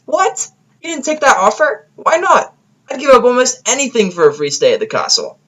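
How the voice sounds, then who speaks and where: A man puts on a young cartoon pegasus mare for an audio drama. The voice sits high and pushes forward with brash, eager energy. Lines come out as lively exclamations. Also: normal speed, full character voice. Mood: incredulous.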